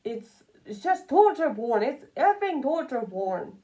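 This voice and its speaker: A woman's voice: high voice, sing-song, unhurried, warm tone, poor audio. A woman giving casual, unscripted opinion commentary, rambling and repeating phrases for emphasis. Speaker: commentary video creator.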